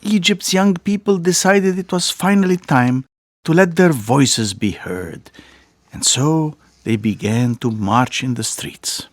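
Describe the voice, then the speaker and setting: A man reading a picture book aloud as a storyteller, with deliberate phrasing, frequent pauses and emphatic swells. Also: fairly high pitch, expressive, no echo, studio quality. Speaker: read-aloud narrator.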